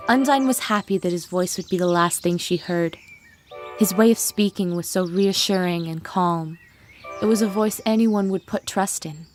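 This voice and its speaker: A woman reading scripted narration for a dramatic fan fiction story, with music playing behind her. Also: mid-range voice. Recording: music bed with birdsong behind.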